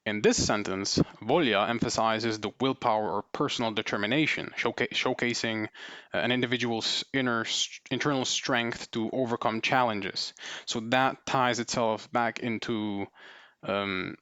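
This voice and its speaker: A man's voice: mid-range voice, fairly even intonation, normal speed, pushed and bright, dry recording, muffled recording. A man presenting a video essay, explaining his points off the cuff with many fillers and tag questions. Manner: thinking aloud with many fillers and "right?" tags. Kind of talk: unscripted explanatory talk.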